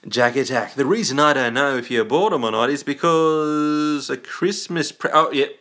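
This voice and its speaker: Livestream host, male, Australian accent. Bright, forward tone; casual, jokey rambling with frequent self-interruption. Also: medium pitch, normal speed.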